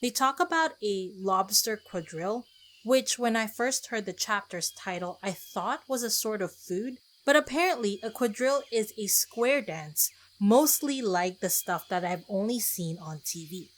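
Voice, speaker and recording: A woman's voice: medium pitch, animated, measured pace. A woman podcast host narrating a casual scripted solo episode in a chatty, self-deprecating way with conversational asides.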